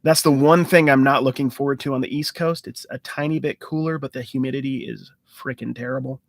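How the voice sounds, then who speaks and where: A man's voice: medium pitch, steady tone, fairly quick, no room sound. Casual rambling man on a live stream. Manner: rambling and conversational, restarts and fillers; enthusiastic about card hunting. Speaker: sports card channel host.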